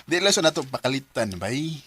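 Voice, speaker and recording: An actor playing a young male student who courts a classmate, speaking hesitantly with many pauses. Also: mid-range voice, big pitch swings, close to an everyday voice. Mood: shy. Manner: hesitant, halting, many ums and throat clears. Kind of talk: amateur radio drama acting.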